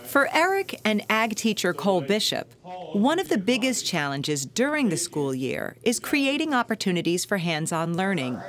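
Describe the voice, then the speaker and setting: A woman's voice: medium pitch, big pitch swings, normal speed. A woman narrating a television feature in an upbeat, scripted storytelling manner.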